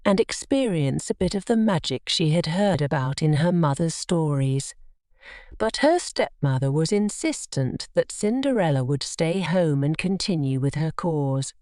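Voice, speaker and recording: A woman narrating a scripted children's bedtime story in an expressive, measured storytelling manner. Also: low voice, no echo, clean studio sound.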